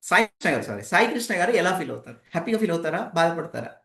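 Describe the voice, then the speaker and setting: A man's voice: high voice, big pitch swings, fast, vocal fry, fairly bright tone. A man leads an interactive training session as a speaking coach, explaining emphatically and putting rhetorical questions to participants. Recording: echoey, roomy sound.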